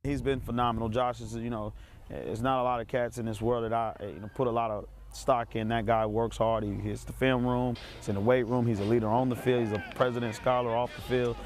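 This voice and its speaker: A man's voice: medium pitch, little pitch movement, fairly quick. A man speaking as a football coach in a casual interview, in short, colloquial sentences.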